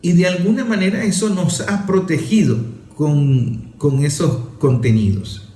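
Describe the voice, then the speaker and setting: A man's voice: on the high side, measured pace, dark tone. Earnest man, echoey room. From Venezuela. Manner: emphatic, repeats and lists for effect. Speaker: father and author speaking on a talk show. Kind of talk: earnest conversational commentary.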